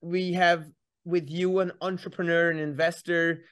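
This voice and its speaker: A man's voice: a little high, level tone, unhurried, fairly bright tone, smooth. A man from Hungary hosting a podcast in a casual, friendly way, rambling a little and restarting his sentences. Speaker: podcast host. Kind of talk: casual interview chat.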